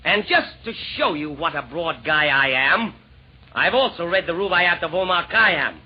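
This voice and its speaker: An actor playing a villainous, pompous Roman emperor, declaiming rhymed verse in old-time radio comedy style. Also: male voice, a little high, animated, moderate pace, breathy edge. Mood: menacing, boastful. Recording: dull, noisy vintage radio recording.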